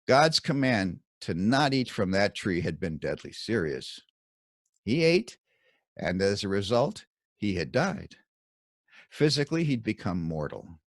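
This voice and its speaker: A man reading a scripted Bible commentary as its author, with deliberate, emphatic phrasing and dramatic pauses. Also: on the low side, sing-song, no echo, studio quality.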